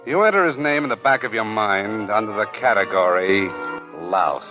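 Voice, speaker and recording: An actor as a terse, sardonic, hard-boiled narrator of a 1940s radio drama, speaking dramatically. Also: male voice, lowish voice, normal speed, lightly acted. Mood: grim, terse. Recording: dull 1940s radio broadcast over music.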